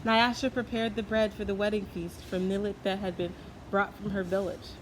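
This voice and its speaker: A woman narrates a children's storybook. Her voice sits at a medium pitch. Noticeable background noise accompanies the reading. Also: level tone, moderate pace. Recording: clearly noisy background.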